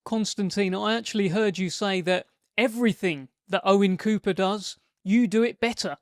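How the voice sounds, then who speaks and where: A male sports interviewer with a notably high voice for a man. He speaks as a host presenting a promotion and questioning guests, and the sound is dry and close, without room echo. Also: normal speed.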